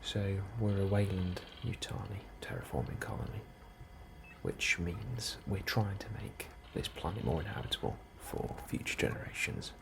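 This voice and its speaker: A man doing a soft, breathy ASMR role-play as an unhurried, politely understated officer interviewing a newcomer. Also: low voice. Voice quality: soft, breathy, often near whisper. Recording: steady ambient noise behind the voice. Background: computer and ambience sounds.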